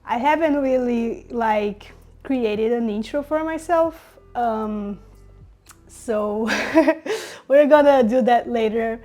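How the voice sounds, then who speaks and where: A woman's voice: a little high, slow, warm tone. A young woman from Brazil with a Brazilian Portuguese accent, chatting casually to camera about her design work, upbeat and full of fillers. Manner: chatty, upbeat, many fillers and little laughs. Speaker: designer vlogger. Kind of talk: casual talk to camera while working.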